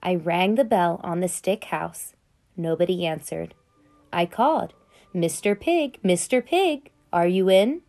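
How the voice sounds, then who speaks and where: A woman voicing a sly, smooth-talking male wolf who tells his side of the story in a chatty, conspiratorial way, read aloud from a children's picture book. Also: fairly low pitch, expressive, measured pace, a little mellow.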